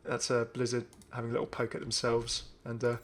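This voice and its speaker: A man's voice: mid-range voice, completely flat delivery, a little fast. A man hosting a gaming video, commenting casually and enthusiastically as he thinks aloud. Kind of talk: casual game update commentary.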